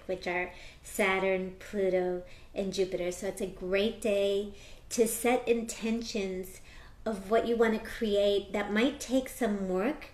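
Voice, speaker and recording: An American woman speaking as an astrologer and tarot reader, chatting casually in an interview with bursts of excitement. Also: mid-range voice, roomy sound. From United States. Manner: animated, quick bursts of excitement.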